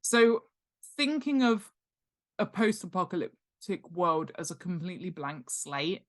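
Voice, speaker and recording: A woman's voice: lowish voice, measured pace. A middle-aged woman talking informally as an expert guest, explaining with emphasis and checking in with the listener. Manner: animated, emphatic, checks in with "right?" and "okay?". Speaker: guest author and world-building expert. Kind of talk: informal explanatory interview talk.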